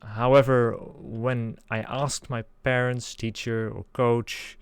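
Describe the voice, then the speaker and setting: A man's voice: medium pitch, level tone, slow, smooth, no room sound. A man in his twenties from the Netherlands, Dutch accent, giving an earnest, carefully hedged monologue as a channel host. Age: 20s. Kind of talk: scripted monologue.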